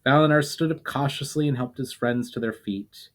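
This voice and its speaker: A man reading fantasy fiction aloud as a storyteller, in a dramatic audiobook style with measured phrasing that falls away at line ends. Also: mid-range voice. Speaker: author reading own fiction aloud, channel host.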